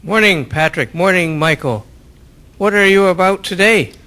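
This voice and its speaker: Man acting as higher-voiced, chatty tavern keeper. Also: normal speed, pushed and bright, soft background music. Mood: sociable. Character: sociable tavern keeper and liquor seller, chatty. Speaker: amateur voice actor, storyteller. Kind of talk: historical radio drama acting.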